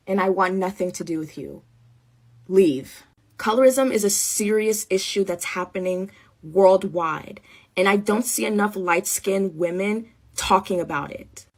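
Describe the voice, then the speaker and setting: A young woman telling a candid story and then ranting bluntly to her followers in casual slang. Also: mid-range voice. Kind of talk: candid storytelling and direct-to-camera rant.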